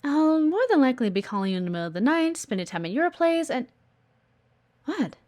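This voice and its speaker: A woman's voice: high voice, very animated, fairly quick, a little mellow. A woman playing a blunt, guarded but earnest executive assistant in an audio roleplay, assertive and exasperated. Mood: businesslike, insistent. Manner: exasperated, assertive, frequent sighs. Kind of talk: audio roleplay acting addressed to the listener.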